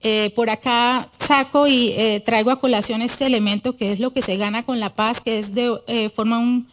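A middle-aged woman giving an academic conference talk at a podium microphone in a large auditorium, earnest and often pausing to correct herself. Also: fairly high pitch, steady tone, a little hiss or hum. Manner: earnest, with frequent hesitations and self-corrections. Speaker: conference speaker. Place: large wood-panelled auditorium.

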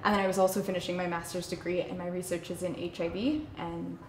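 A woman from Arizona, a nonprofit director, talking about herself in a relaxed, friendly interview indoors. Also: lowish voice, fast talker, roomy sound, slight background noise, poor audio. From Arizona, United States. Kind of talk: casual interview. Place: indoor room with a plain wall.

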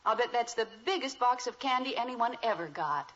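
Muffled old radio recording, practical American sitcom mother, gently teasing, fairly high lilting voice. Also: lively intonation, fairly quick. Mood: gentle. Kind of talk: 1950s radio sitcom acting.

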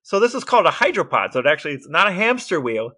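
A man co-hosting a podcast, telling a story in a lively, wry way in casual chat. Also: a little high, rapid-fire. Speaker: podcast co-host.

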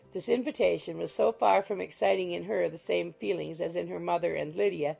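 A woman narrating an audiobook in a measured, even manner. Her voice is low, dark and gravelly with a husky edge, and soft music plays underneath.

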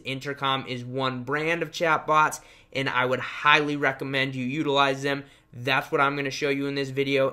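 A man's voice: medium pitch, bright, forceful tone. A young man hosting a casual screen-share tutorial, talking in an upbeat, emphatic way like a salesman. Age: young adult. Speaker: tutorial host and web design trainer.

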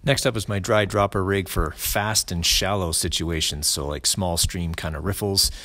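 A middle-aged man hosting a fly fishing channel, explaining his gear and rigs in a relaxed voice-over with many ums and restarts. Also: sits low, moderate pace, a little hiss or hum.

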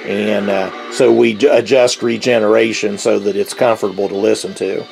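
A middle-aged man giving an unscripted, explanatory demonstration as a hobbyist, speaking haltingly with a gravelly voice. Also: lowish voice, fairly even intonation, a little mellow, faint music behind. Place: indoors, at a tabletop radio. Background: shortwave radio playing faintly.

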